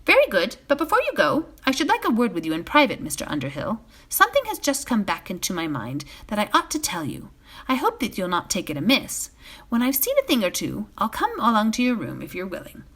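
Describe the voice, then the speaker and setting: A woman's voice: mid-range voice, wildly swooping pitch, fairly quick, a bit bright, slightly rough, heavily acted. A woman voicing a fussy, puzzled male innkeeper in a storybook, chatty and folksy in manner. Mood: scolding, wary.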